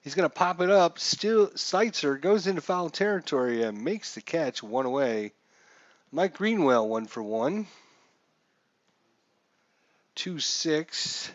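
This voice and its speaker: A man streaming casual play-by-play commentary of a baseball game, with announcer-like calls and pauses. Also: fairly high pitch, very animated, no echo, highs cut off. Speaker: live streamer, host.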